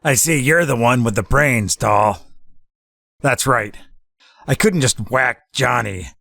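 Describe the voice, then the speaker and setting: A man's voice: mid-range voice, slow, creaky, sharp tone, exaggerated voice. A man playing a cool, scheming new gangster boss in a hardboiled audio drama, unruffled and slangy. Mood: calculating.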